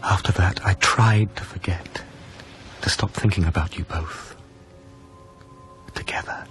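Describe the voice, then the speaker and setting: An actor playing a grieving, wistful lover, narrating a radio drama in a hushed, measured, brooding manner. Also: male voice, low voice, faint music behind. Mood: regretful. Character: grieving, wistful former lover recounting a haunted past.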